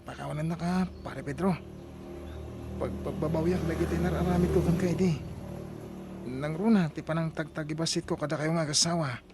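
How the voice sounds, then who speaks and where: A man's voice: on the high side, fairly even intonation, fairly quick, long pauses, very noisy, lightly acted. A man with an Ilocano accent acting an emotional, earnest married man in an audio drama. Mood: remorseful. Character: pleading, emotional married man in a radio melodrama.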